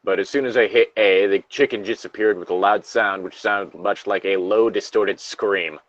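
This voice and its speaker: A man's voice: lowish voice, fairly even intonation, moderate pace, no room sound, dull audio. A man co-hosting a casual read-along of internet horror stories, jokey and given to swearing between lines. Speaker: co-host reading internet horror stories aloud. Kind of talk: casual story read-along with joking asides.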